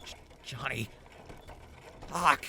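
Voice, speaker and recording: A man's voice: medium pitch, extremely slow, gravelly, pushed and bright, slight background noise, full character voice. A man acting as an anxious, bewildered prisoner in an audio drama, asking urgent, faltering questions. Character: anxious, bewildered male captive of raiders.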